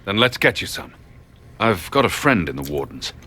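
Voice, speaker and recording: A man's voice: on the low side, big pitch swings, moderate pace, pushed and bright, husky, full character voice. An actor voicing a weary, resolute male hero in video game dialogue, grave and earnest. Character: weary, resolute male champion turned rebel mage hero.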